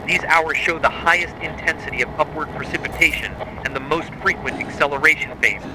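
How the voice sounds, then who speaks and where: Man, somewhat higher-pitched, grave, measured, official horror broadcast narration, noticeable background noise. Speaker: narrator of a mock emergency broadcast. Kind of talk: scripted horror narration as official emergency bulletin.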